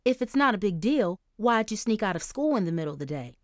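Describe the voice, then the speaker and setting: A woman's voice: mid-range voice, big pitch swings, fast, dry recording, clean studio sound, natural voice. A woman voicing a worried mother who keeps pressing her daughter with concerned questions. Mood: insistent.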